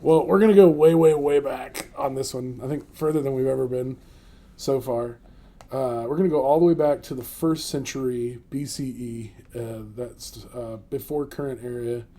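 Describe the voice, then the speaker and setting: Mellow-voiced joking male podcast host. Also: mid-range voice, fairly even intonation, normal speed. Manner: joking, filler-heavy, laughs often. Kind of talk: casual comedic podcast chat.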